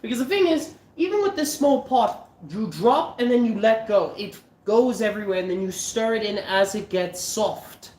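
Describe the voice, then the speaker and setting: A man's voice: high-pitched, lively intonation, moderate pace, hardly any pauses, a little mellow, echoey room. A stuffy-nosed South African man hosting a live cooking stream, chatting casually with viewers and swearing now and then. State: stuffy nose. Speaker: livestream cooking host.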